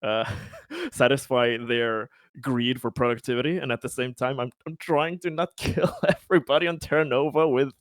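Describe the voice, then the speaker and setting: A man chatting casually as a tabletop game player, halting and wry, swearing freely. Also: mid-range voice, dry recording, clean studio sound.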